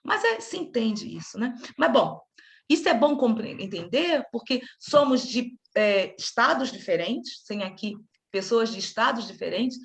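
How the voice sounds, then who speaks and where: A woman from Rio de Janeiro with a Carioca accent, leading a casual study group discussion in an animated, chatty way, often breaking off and restarting. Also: on the high side, measured pace, gravelly. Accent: Carioca Brazilian Portuguese. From Rio de Janeiro, Brazil. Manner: animated, chatty, self-interrupting.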